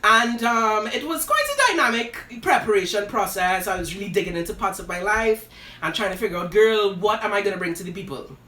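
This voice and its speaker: A woman's voice: mid-range voice, lively intonation, fairly quick, bright, forceful tone. A woman, hosting a live show and telling a personal story with dramatic, sassy flair. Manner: dramatic, sassy asides, addresses listeners as "girl" and "darling". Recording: roomy echo, voice from a distance.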